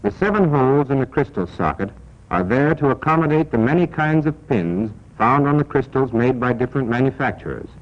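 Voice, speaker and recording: An American man narrating a 1940s military training film in a scripted, deliberate, instructional and emphatic manner, on an old film soundtrack. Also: mid-range voice, animated. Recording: dull, band-limited 1940s film soundtrack.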